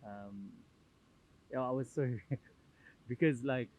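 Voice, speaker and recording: A man from Nepal, a native Nepali speaker, answering interview questions in a casual, halting way with many fillers and restarts. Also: medium pitch, darkish tone, poor audio. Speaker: podcast guest.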